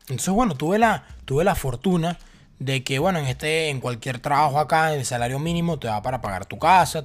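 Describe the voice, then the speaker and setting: A young man in his twenties with a Venezuelan accent, telling his personal story to the camera in a casual, talkative way with many fillers and restarts. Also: medium pitch. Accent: Venezuelan Spanish. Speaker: vlogger.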